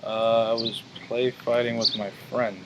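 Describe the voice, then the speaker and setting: A man in his twenties answering interview questions in a hesitant, measured way with fillers. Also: mid-range voice, little pitch movement, background noise. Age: 20s. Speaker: interview guest.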